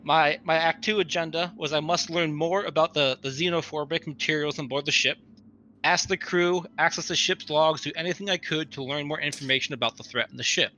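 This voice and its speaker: A man's voice: a little high, fairly quick, pushed and bright, dull audio. A man recapping his tabletop roleplaying character in a casual debrief, as one of the players. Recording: faint background noise.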